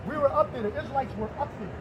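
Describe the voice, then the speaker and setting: A man's voice: extremely high pitch, very fast, a crowd in the background. A man preaching on the street, reading scripture aloud and firing rhetorical questions at passersby. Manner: emphatic, fires rhetorical questions and challenges listeners. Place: outdoors on a city street near traffic. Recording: street noise outdoors.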